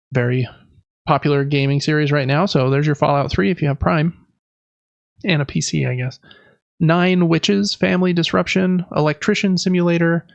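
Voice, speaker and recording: A man's voice: on the high side, vocal fry, no room sound, lo-fi sound. A man hosting a podcast, chatting informally and with enthusiasm about games. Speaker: podcast host. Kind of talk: casual gaming podcast chat.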